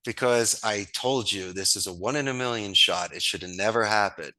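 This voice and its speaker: Upbeat bright-voiced man. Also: lowish voice, moderate pace, low-quality recording. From Northeast Philadelphia, USA. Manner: enthusiastic, storytelling, folksy sayings. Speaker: guest, call center founder. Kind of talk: casual interview talk.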